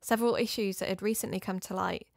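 Female investigator-narrator played by an actress: uneasy, confiding, scripted first-person drama, mid pitch, close dry recording. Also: steady tone, fast talker, creaky, no room sound, lightly acted. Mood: uneasy, reflective.

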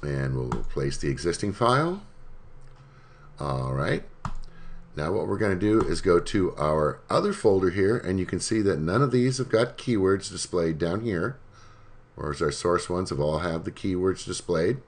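A man presenting a screencast software tutorial, giving plain step-by-step instructions in a voice-over. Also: low voice, lively intonation, normal speed.

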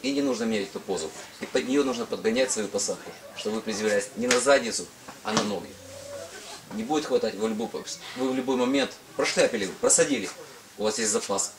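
A man's voice: lowish voice, fast. A middle-aged man giving an explanatory safety talk as an instructor, emphatic and repeating his key points. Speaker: parachute instructor. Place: indoor equipment hangar with small audience. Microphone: camera some metres away. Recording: distant mic, background group noise.

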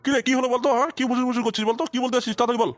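Man acting a cranky, groaning old father, talking fast. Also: very high-pitched, flat delivery, dry recording. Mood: angry, threatening. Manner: foul-mouthed, groaning, scolding. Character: cranky old village father with an aching back. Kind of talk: crude adult comedy cartoon dubbing.

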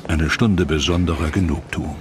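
A man's voice: a bass voice, steady tone, normal speed, over music. A man reading scripted narration for a historical documentary.

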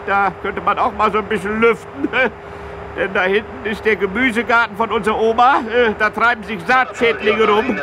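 An actor playing a petty, vengeful small-town fighter pilot in a radio comedy, rambling hesitantly and folksily with tag questions. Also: male voice, high-pitched, natural voice. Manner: rambling, hesitant, folksy, tacking question tags onto sentences. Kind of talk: satirical radio comedy sketch. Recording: loud vehicle noise around the voice.

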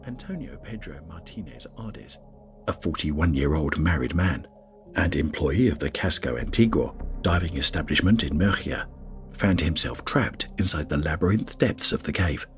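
A man reading a scripted documentary narration in a grave, measured way. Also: a bass voice, expressive. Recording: faint music bed under voice-over.

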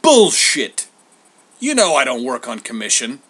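A man puts on a travelling poet from a comic myth. He is gruffly familiar, blunt and sneering, with a wry streak. The voice is bright and forceful, jumping about in pitch. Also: animated, moderate pace. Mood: indignant. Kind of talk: comic story character voice.

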